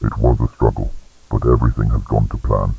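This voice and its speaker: A man playing a grim, obedient officer in a dramatic scenario, with a very deep gravelly voice in muffled lo-fi sound. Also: little pitch movement, a little fast, heavily acted. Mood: grimly obedient. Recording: heavily muffled lo-fi.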